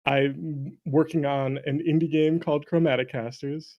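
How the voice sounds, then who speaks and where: A man's voice: fairly high pitch, steady tone, moderate pace, muffled recording. A man chatting casually as an interview guest, an indie game developer and streamer with a jokey, self-deprecating manner who often laughs. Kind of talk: casual chat.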